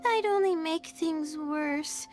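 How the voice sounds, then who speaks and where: Timid girl, high, slow voice. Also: over music. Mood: timid, concerned. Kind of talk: anime game voice acting.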